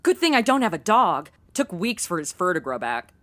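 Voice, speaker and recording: A woman's voice: on the low side, sing-song, normal speed, a bit bright. An actress playing a brash, ditzy modern young woman in a comedic audio drama, talking in blunt, slangy valley-girl phrases. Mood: sassy, clueless.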